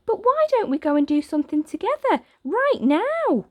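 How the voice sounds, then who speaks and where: A woman's voice: very high-pitched, big pitch swings, normal speed, darkish tone, dry recording, voice pushed up. A woman voicing a friendly, eager little girl in a children's storybook. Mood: cheerful.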